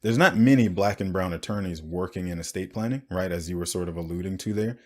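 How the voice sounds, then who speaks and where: Deep-voiced male podcast host. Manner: smooth, measured delivery with brief stumbles when unscripted. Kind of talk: scripted intro then conversational interview.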